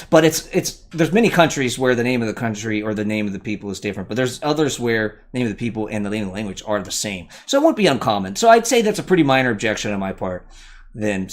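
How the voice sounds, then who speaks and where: A man talks through his thoughts on a live stream in a loose, unscripted way. He restarts his sentences and corrects himself as he goes, the way a channel host does when thinking out loud. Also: lowish voice. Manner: thinking aloud, self-correcting, rambling. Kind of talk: casual live commentary.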